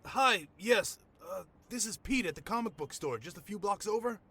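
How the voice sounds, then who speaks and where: A man's voice: sits high, lively intonation, moderate pace, bright, forceful tone, husky, heavily acted. A man playing a nervous, chatty comic shop owner in an audio drama, stammering and wry. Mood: shaken, anxious. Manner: nervous, stammering, wryly talkative. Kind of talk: naturalistic audio drama acting.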